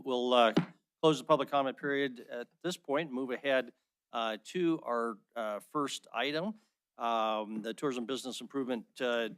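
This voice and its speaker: A man's voice: mid-range voice, clear, resonant tone, no room sound. A man chairing a city council work session, hesitant and full of fillers as he moves the agenda along. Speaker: mayor chairing the meeting. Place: council chamber.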